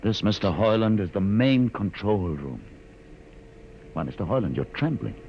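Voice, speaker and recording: A commanding older rebel guide from 1950s radio drama, heard through a muffled recording with heavy hiss. His low voice is sardonic and grandly declamatory, rising and falling widely as he lectures. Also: big pitch swings, normal speed. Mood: menacing, sardonic.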